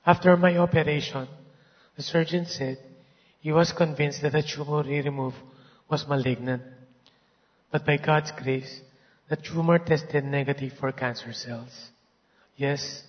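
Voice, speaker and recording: Hoarse man giving a testimony in a hall. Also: a little high, fairly even intonation, a little slow, pauses often. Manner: earnest, grateful. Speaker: church member giving a testimony. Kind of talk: reading a prepared testimony. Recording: dull sound in a reverberant hall.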